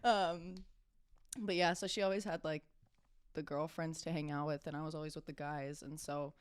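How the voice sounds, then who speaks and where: A woman's voice: deep voice, fairly even intonation, normal speed, smooth, no room sound, clean studio sound. Young American woman, casual podcast guest. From California, United States. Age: young adult. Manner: self-deprecating, giggly, informal with frequent "like". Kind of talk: casual interview chat.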